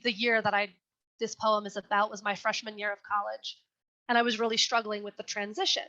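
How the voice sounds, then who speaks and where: A young woman reading her poem slowly and with care, then answering questions in an earnest, chatty way over a video call. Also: on the high side, pushed and bright. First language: English. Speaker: poet reading her work. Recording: dull lo-fi video call audio.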